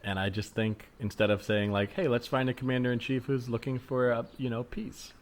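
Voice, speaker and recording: A man's voice: medium pitch, fairly even intonation, fairly quick, close to an everyday voice. A man playing an awkward, polite, peace-minded city liberal, tentative and diplomatic in a conversational improvised comedy scene. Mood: wistful, tentative. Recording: faint noise, added ambient soundscape. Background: faint ambient sound effects.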